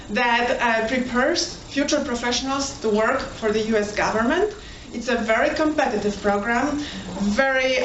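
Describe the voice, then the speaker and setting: A woman, as program director, introducing students in a warm, formal way with a few uhs. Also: fairly high pitch, a little hiss or hum. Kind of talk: formal announcements. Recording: dull, echoey, distant audio.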